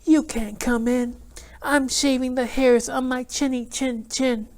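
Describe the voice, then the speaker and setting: A man voicing an annoyed male pig who shouts through his door in a high, squeaky voice. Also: fairly even intonation, measured pace.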